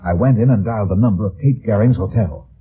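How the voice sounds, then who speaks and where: A man's voice: lowish voice, husky. An actor playing a wry, wary, heavyset private detective, narrating his case tersely in a radio drama. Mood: tense, watchful. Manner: deliberate, terse, dry storytelling. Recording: old phone-like radio recording, music behind.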